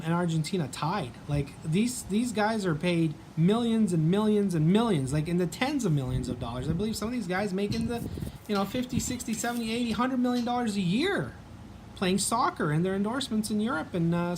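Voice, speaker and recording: A man's voice: high voice, lively intonation, fairly quick, a little mellow, slight background noise. A man with a Canadian accent hosting a casual sports vlog, chatting in a rambling way with many ums and restarts.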